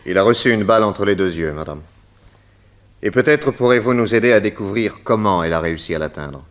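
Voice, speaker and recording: A man playing a shrewd, courteous private detective in a radio serial, speaking in a deliberate, inquisitive, polite manner. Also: fairly low pitch, expressive, natural voice. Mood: probing, composed. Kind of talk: old French radio detective serial acting.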